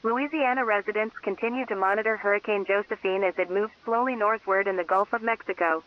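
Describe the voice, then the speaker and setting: A woman's voice: medium pitch, fairly even intonation, a little fast. A woman reading a scripted news update as a radio station announcer. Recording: dull, lo-fi radio sound.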